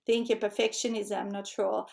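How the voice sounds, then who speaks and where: A woman's voice: medium pitch, moderate pace, gravelly. A middle-aged woman from North Macedonia with a Macedonian-tinged Australian accent, a native Macedonian speaker, energetically presenting an online seminar as a book-writing coach. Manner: energetic, chatty, frequent tag questions and laughs.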